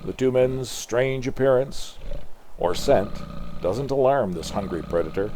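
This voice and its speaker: A man's voice: medium pitch, lively intonation, unhurried, heavy background noise. An older man from Canada reading a comic book aloud as narrator, in a dramatic scripted storytelling style.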